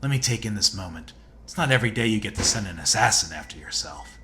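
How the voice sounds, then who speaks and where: Crisp, low, shrewd hunter. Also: male voice, animated, fast, bright, forceful tone. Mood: coolly insistent. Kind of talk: video game character dialogue.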